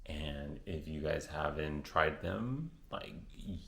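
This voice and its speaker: Male beauty vlogger, very low voice, unhurried casual chat, echoey room. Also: animated, gravelly, fairly bright tone. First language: Spanish. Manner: chatty, rambling, self-correcting. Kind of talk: casual makeup tutorial chat.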